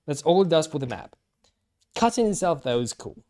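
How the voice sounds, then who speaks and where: A man giving casual live stream commentary, thinking aloud haltingly and repeating himself. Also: on the high side, big pitch swings, darkish tone.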